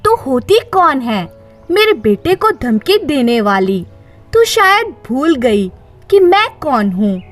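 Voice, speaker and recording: Woman acting haughty, shrill older mother-in-law. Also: full character voice. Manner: scolding, contemptuous.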